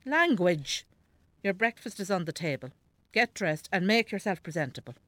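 A woman playing an elderly, nagging, devout Irish mother in a radio drama, sharp-tongued and scolding. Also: lowish voice, sing-song, normal speed, no echo. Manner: scolding, bossy. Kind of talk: naturalistic radio drama acting.

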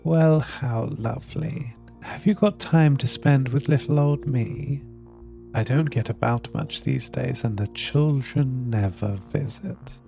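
A man's voice: medium pitch, vocal fry, dark tone, soft background music. A man putting on a crackly, doting old granny voice. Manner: slow, sweet, doting. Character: thin crackly old female voice from a speaker. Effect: crackly poor connection.

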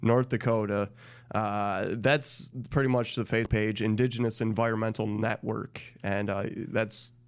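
Male co-host, resonant and smooth, measured explainer. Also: lowish voice, little pitch movement. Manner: measured, explaining a topic plainly. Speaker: radio co-host. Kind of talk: casual explanatory chat.

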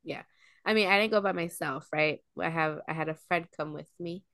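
A woman's voice: sits low, a little fast, fairly bright tone, no room sound, studio quality. Chatty young woman telling stories. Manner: chatty storyteller, lots of "like" and "um". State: coming down with a cold. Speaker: podcast co-host. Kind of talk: casual chat between friends.

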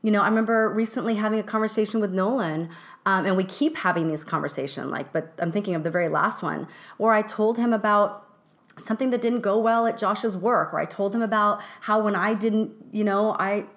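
A middle-aged woman co-hosting a podcast, talking casually with many restarts and fillers. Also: medium pitch. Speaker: podcast co-host.

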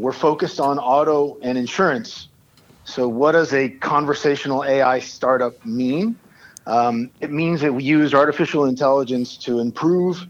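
A Puerto Rican startup founder, a man, giving a polished pitch with filler ums in a casual interview. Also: lo-fi sound. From Puerto Rico. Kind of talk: casual interview, switching Spanish and English.

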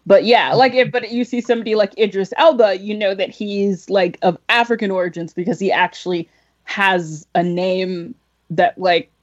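A woman's voice: medium pitch, steady tone, normal speed, lo-fi sound. A young woman, a podcast guest, talking casually about films in a rambling way full of fillers and laughs. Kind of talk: casual film discussion.

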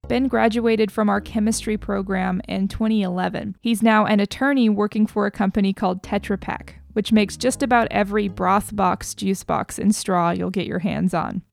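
A woman's voice: medium pitch, gravelly, no echo. A woman hosting an interview podcast, presenting in a scripted narration style.

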